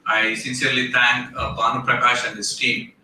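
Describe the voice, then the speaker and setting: A man, a vice principal giving a formal welcome speech, often pausing on "uh" while thanking dignitaries. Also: medium pitch, level tone. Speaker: vice principal and department head. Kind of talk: formal welcome speech at a college tech fest. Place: large hall. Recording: echoey hall from far off, faint noise.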